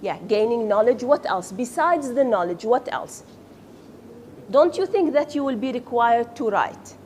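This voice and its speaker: A woman's voice: a little high, expressive, measured pace, a little mellow, a little hiss or hum. A woman whose first language is Arabic, teaching a class and quizzing her students, then praising their answers. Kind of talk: classroom lecture with questions.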